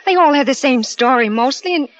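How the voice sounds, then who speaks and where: A woman's voice: sits high, expressive, a little fast, a little hiss or hum. An actress playing an anxious, devoted young wife in a 1940s radio drama, speaking tenderly and pleading with her husband. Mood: frightened. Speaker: narrator and wife. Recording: dull old radio broadcast with faint music.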